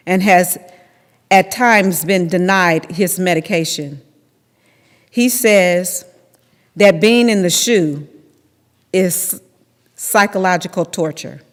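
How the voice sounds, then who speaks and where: A middle-aged woman giving a dramatic reading of testimony at a lectern. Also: fairly low pitch. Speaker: reader in a readers theater. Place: large temple sanctuary, at a lectern. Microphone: podium microphone on a boom stand. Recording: long hall reverb.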